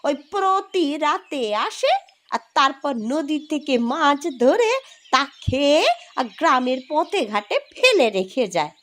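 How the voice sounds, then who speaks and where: A woman voicing a scolding old village grandmother in a cartoon, with an emphatic, drawn-out way of talking. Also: sits high, expressive, a bit bright. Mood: grave, complaining. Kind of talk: exaggerated Bengali cartoon voice acting.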